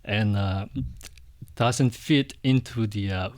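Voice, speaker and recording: A middle-aged man from Indonesia, a Buddhist monk, answering interview questions in a hesitant, soft way with frequent restarts. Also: lowish voice, slow pace.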